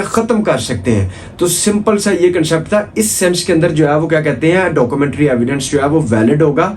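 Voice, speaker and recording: A man's voice: fairly high pitch, darkish tone, slight background noise. A man with a Pakistani accent giving an informal explanatory law lecture, mixing in English terms and repeating key points in a didactic way.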